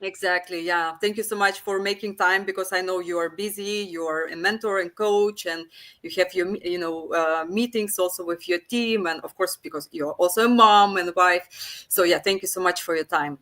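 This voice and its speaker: A woman co-hosting a casual live interview over a video call, speaking haltingly and often repeating her phrases. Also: lowish voice, a bit bright. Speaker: co-host.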